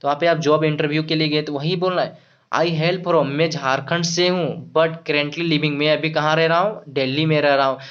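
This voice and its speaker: A man teaching English to Hindi speakers. He repeats himself, restarts words and slows right down when he says the English examples. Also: fairly high pitch, steady tone, clear, resonant tone. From Jharkhand, India. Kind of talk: explaining English phrases in Hindi. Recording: dull lo-fi sound.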